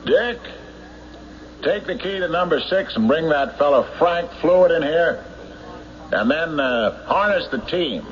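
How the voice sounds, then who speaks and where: An actor in an old-time radio drama playing a shrewd, relentless sheriff who questions suspects with deliberate, accusatory pauses. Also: male voice, fairly high pitch, lively intonation, muffled recording. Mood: commanding, sardonic. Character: shrewd, relentless small-town sheriff interrogating murder suspects. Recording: noisy band-limited old radio broadcast recording.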